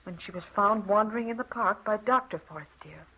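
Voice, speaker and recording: An actress playing a credulous, aghast gossip with a folksy, wondering manner in an old-time radio drama. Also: female voice, medium pitch, a little fast, husky, lightly acted. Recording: tinny, narrow old radio recording.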